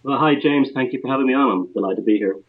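A man's voice: mid-range voice, very fast. An Irish man, a guest author, telling his story in a rambling, conversational way over the phone. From Ireland. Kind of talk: phone interview. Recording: dull, distant phone line.

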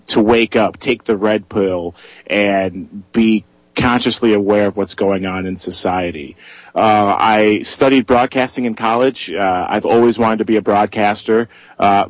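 Young man with a hoarse voice. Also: lowish voice, no room sound, low-quality recording. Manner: eager, many "uh" fillers. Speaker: guest, freelance journalist. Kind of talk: radio interview.

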